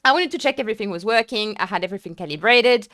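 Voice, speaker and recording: A young woman streamer chatting casually and excitedly with viewers, full of fillers and restarts. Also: medium pitch, very fast, no room sound, clean studio sound. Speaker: sim racing streamer. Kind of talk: casual live-stream chat.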